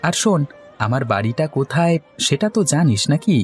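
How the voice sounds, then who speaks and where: A man's voice: fairly high pitch, big pitch swings, fairly quick, soft background music. A man voicing a poor, hospitable village man in a cartoon, effusive and insistent. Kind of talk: Bengali cartoon story dialogue.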